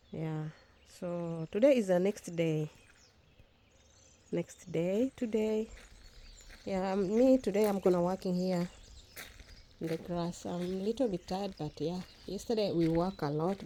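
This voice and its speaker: A woman's voice: on the low side, dark tone, slight background noise. A woman whose first language is Swahili, chatting casually in a vlog as she films her garden outdoors on a phone, often restarting her phrases. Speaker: vlogger. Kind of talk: casual walk-and-talk vlog.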